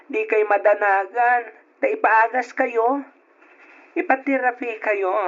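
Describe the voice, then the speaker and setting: A man playing a devoted, earnest young son in an old-style radio drama. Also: high voice. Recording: dull lo-fi radio drama audio.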